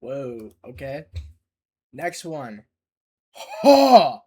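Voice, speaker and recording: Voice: mid-range voice, huge pitch swings, extremely slow, darkish tone, slightly rough. A reaction host giving casual, exclamatory commentary with plenty of swearing while watching a video.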